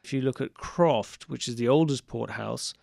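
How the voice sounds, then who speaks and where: A man from Portugal with a gravelly, creaky voice speaks as a studio guest, chatty and enthusiastic, and his talk is dotted with fillers. He is recorded close and dry in the studio, with no room sound at all. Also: mid-range voice, normal speed, a little mellow, no echo. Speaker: port wine sales and export manager. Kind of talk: radio interview guest. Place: radio studio. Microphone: studio microphone.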